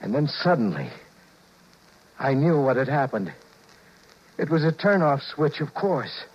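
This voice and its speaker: A stammering guilty husband. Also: male voice, medium pitch, big pitch swings, unhurried, slightly rough. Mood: confiding narration. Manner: stammering, nervous, confiding narration. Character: flustered guilty husband George, middle-aged man. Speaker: actor playing George, narrator and lead. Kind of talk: 1950s radio drama acting. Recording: dull vintage 1950s radio broadcast.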